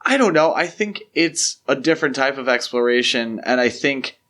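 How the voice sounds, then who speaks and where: A man's voice: mid-range voice, expressive, moderate pace, fairly bright tone. A man co-hosting a podcast in casual film discussion, talking conversationally and peppering sentences with "like" and "you know". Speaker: podcast co-host.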